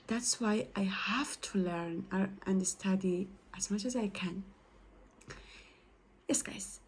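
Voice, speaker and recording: A woman's voice: mid-range voice, slight background noise. A young woman with a Persian accent, a native Persian speaker, talking casually and hesitantly to her followers in a study vlog. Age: young adult. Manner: hesitant, halting, many fillers and self-corrections. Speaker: study vlogger. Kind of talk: casual talk to camera.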